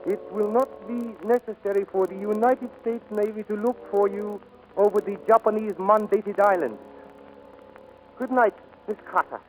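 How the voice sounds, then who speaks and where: A man acting a high-voiced, sly foreign innkeeper. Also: steady tone, music in the background, natural voice. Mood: quietly menacing. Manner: measured, courteous, broken English. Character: polite, sly, menacing foreign innkeeper spy. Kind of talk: 1940s radio acting. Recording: noisy old radio recording.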